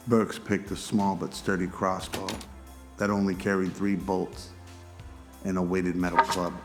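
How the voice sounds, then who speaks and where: A man reading scripted narration for a radio-style audio drama. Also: low-pitched.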